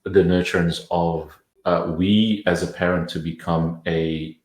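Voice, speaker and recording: A man's voice: sits low, fairly even intonation, unhurried, darkish tone, roomy sound. A man from Indonesia, a parenting coach, talking thoughtfully in a podcast interview with many restarts.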